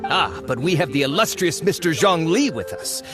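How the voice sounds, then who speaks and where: A man's voice: on the high side, animated, moderate pace, natural voice. An actor playing a greedy, sarcastic man hungry for treasure in game dialogue. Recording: game audio with music underneath.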